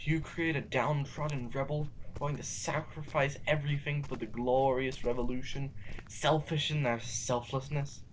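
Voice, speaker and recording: A man's voice: medium pitch, steady tone, normal speed, close to an everyday voice. A man playing an anguished, bitterly philosophical reluctant rebel in a science fiction audio drama, with a theatrical, wordy delivery. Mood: bitter accusation. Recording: faintly noisy amateur recording.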